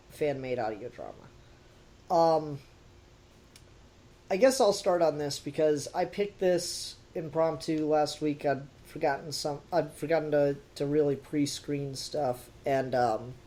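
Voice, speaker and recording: A man's voice: fairly high pitch, dark tone. A man hosting a podcast in a casual, rambling review style with a wry, sarcastic edge. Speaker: podcast host.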